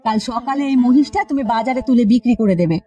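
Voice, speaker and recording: A woman's voice: a little high, quick pace, dark tone, natural voice. A woman voicing a domineering, resentful village wife in a cartoon folk tale, nagging and threatening.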